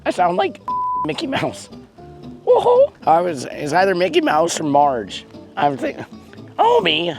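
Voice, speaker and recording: A woman with a croaky, failing voice chats to camera on a road trip. She jokes and mocks herself cheerfully. Loud music runs behind her talk. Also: on the low side, measured pace, long pauses. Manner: joking, animated, self-mocking. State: hoarse, losing her voice. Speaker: travel vlogger. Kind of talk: casual on-camera vlogging.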